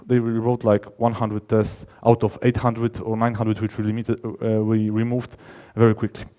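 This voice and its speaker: Mellow-voiced man giving a talk. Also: lowish voice, monotone. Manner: emphatic, halting, often rephrasing mid-sentence. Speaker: conference speaker, software developer. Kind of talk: technical conference presentation, informal.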